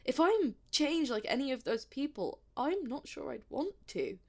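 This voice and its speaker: A woman's voice: mid-range voice, animated, measured pace. A woman playing a sarcastic, wary, lonely but plucky human in an audio drama, in a naturalistic, informal and emotive way. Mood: alarmed, frustrated.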